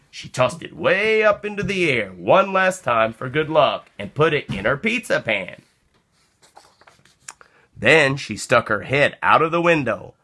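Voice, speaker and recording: Man, children's read-aloud narration, slow deliberate phrasing, very animated intonation, expressive. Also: medium pitch. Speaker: storybook reader.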